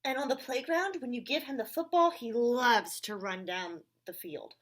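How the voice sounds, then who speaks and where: Earnest young schoolboy, read by a woman, high and husky. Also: moderate pace, a bit bright. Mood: eager, admiring. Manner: earnest, eager explaining. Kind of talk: children's storybook reading.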